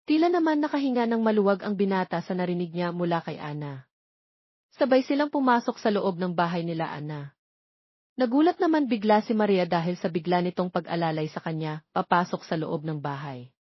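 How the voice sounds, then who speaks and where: A woman's voice: fairly low pitch, expressive, fairly quick, dry recording. A woman reading a scripted romance story as an audiobook narrator, in a muffled recording.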